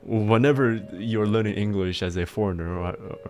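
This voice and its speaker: A man livestreaming and chatting casually, explaining things in halting bursts with frequent restarts and laughter, speaking with a mixed accent. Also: lowish voice. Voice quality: self-described mixed accent. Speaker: livestreamer answering chat.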